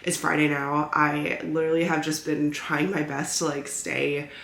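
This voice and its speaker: A woman's voice: low-pitched, little pitch movement, moderate pace, fairly bright tone. A young woman chatting casually in a vlog, congested as she gets over a cold, rambling and peppering her talk with "like". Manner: rambling, frequent use of "like". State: recovering from illness, stuffy. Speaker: lifestyle vlogger. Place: indoors at home. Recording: roomy echo with clear background noise.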